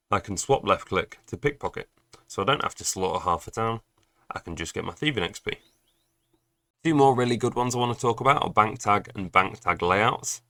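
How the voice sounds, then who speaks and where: Guy with a cold, gravelly and bright, low voice, dry close mic, walking thru game plugins. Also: no room sound. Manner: chatty and explanatory, dry asides. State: has a cold. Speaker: gaming guide creator. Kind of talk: game tutorial voice-over.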